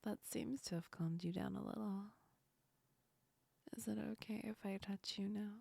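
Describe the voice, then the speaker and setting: A woman playing a teasing yet comforting female sleep demon in a whispered ASMR roleplay, breathy and soft, speaking close to the ear. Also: mid-range voice, fairly even intonation, moderate pace, no echo. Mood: gentle, soothing. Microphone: very close ASMR microphone.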